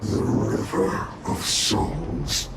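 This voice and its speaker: A man voicing an ominous, mad monstrous being in a video game, speaking in a halting, drawn-out way. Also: noisy background. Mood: ominous, deranged.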